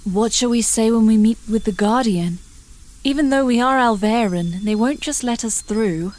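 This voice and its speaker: A woman's voice: fairly high pitch, normal speed, darkish tone, a little hiss or hum, natural voice. A woman playing a dutiful, practical healer in a fantasy audio drama, composed and earnest.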